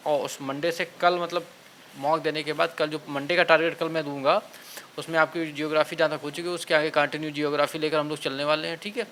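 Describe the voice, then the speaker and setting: Male exam coach, fairly high and forceful voice, urging students informally, some background noise. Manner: instructive, urging, often adds check-in tags. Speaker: exam coaching instructor and channel host. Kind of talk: informal motivational exam-prep talk to students.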